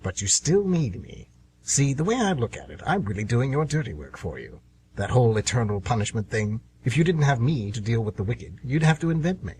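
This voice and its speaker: A man's voice: mid-range voice, very animated, brisk, a little mellow, close to an everyday voice. A man playing the Devil as an anxious, aggrieved, scheming businessman in a comedic amateur radio play, sardonic and always bargaining. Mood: persuading, businesslike. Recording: noisy amateur radio recording with hum.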